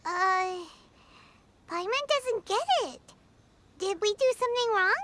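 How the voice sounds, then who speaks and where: Squeaky, sky-high tiny girl companion. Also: slow pace, background noise. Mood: puzzled, wistful. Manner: speaks of self in third person, exclamatory. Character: tiny floating girl companion, chirpy and excitable. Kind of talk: English game dub acting. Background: music.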